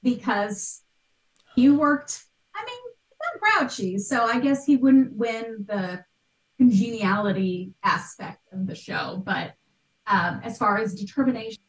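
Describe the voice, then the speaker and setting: Female podcast guest, thirties, thoughtful and conversational, fairly high voice, roomy sound away from the mic. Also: expressive, unhurried. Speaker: guest expert, art history podcaster. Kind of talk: conversational question-and-answer interview. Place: small bright room at home. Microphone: video call, computer microphone. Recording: echoey room, distant from mic.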